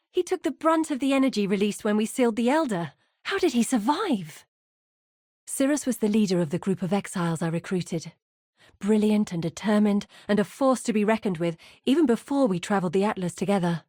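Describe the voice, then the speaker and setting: An actress playing an earnest, guarded woman mapmaker who guides an exile in a video game, speaking in measured, articulate lines. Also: medium pitch, a little mellow, dry recording, clean studio sound, natural voice. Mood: shocked, then sorrowful, troubled. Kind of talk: scripted video game character dialogue.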